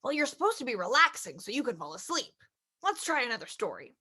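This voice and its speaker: A woman voicing a sleepy, patient father chicken in a storybook, gently scolding and weary of interruptions. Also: on the high side, big pitch swings, quick pace, husky, voice pushed down, heavily acted. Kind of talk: storybook character voice.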